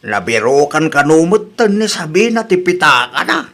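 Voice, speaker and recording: A man's voice: a little high, big pitch swings, normal speed, sharp tone. A man with an Ilocano Filipino accent acting an older village father counselling his daughter in a radio drama. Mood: concerned.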